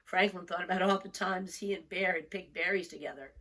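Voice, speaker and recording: A woman reading a picture book aloud for young children, expressive and deliberate, with storytelling emphasis. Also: on the low side. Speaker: storybook reader.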